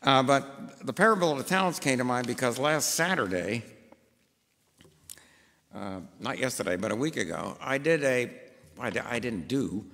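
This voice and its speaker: An older male pastor with a gravelly voice, preaching into a pulpit microphone. He talks in a rambling, anecdotal way full of "uh"s, and his voice rings with long church reverb. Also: mid-range voice, expressive, normal speed, a bit bright. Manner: rambling, anecdotal, with frequent fillers. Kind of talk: sermon with personal stories. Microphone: gooseneck pulpit mic.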